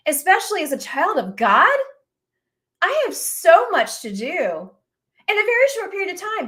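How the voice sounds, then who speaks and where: A woman's voice: high-pitched, fairly bright tone. A woman in her forties hosting a faith-based talk show, speaking earnestly with many rhetorical questions. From United States. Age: 40s. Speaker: radio show host.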